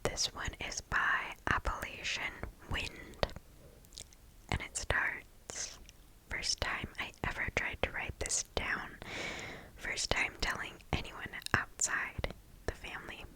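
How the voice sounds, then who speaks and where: Slow whispering ASMR woman. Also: poor audio. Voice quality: breathy unvoiced whisper. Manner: slow, hushed, deliberate. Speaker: ASMR host reading listener stories. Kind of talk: ASMR whispered story reading. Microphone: close microphone.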